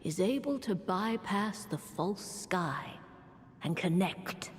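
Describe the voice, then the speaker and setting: Slow, echoing elderly sage. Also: female voice, lowish voice, lively intonation. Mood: solemn, mysterious. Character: wry elderly woman astrologer mentor reading her letter aloud. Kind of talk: video game voice acting, measured monologue. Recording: long echoing reverb over music.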